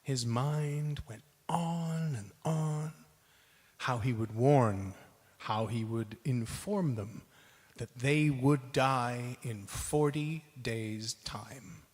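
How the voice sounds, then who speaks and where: A man gives a dramatic spoken-word storytelling performance, theatrical, with deliberate pauses between short phrases. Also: mid-range voice, darkish tone. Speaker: cast member in a dramatic reading. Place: large synagogue hall. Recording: reverberant large hall.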